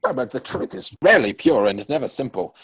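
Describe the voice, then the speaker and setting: A man acts out a witty, idle young gentleman of Victorian stage comedy. His delivery is arch and theatrical, swooping up and down in pitch with a husky edge. He teases and pries in elaborate, formal sentences. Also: sing-song, fairly quick. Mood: airy, witty. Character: witty, idle young English dandy, playfully prying bachelor. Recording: home recording over video call.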